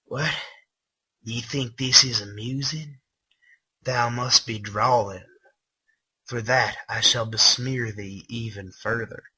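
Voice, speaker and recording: A pompous, cruel older man, acted out by a male reader in mock Old English. He speaks slowly and theatrically, with a husky edge and a bright, forceful tone, like a villain in a comic story reading. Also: medium pitch, fairly even intonation, heavily acted, low-quality recording. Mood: scolding, menacing. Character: sadistic older male attendant, pompous mock-archaic tormentor. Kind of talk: comic dramatic reading of fan fiction.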